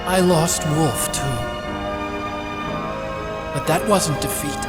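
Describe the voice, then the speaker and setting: High-voiced, earnest young scientist. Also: male voice, slow pace. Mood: grieving, resolute. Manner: earnest, heartfelt, philosophical delivery. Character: Otacon, earnest, idealistic, sensitive young male scientist. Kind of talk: dramatic video game voice acting. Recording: loud music behind.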